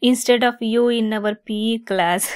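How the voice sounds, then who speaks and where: A woman's voice: a little high, takes it slowly, dry recording, clean studio sound. A woman acting a giggly, teasing young woman fond of a longtime friend, in a romantic ASMR roleplay. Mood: soft, reminiscing.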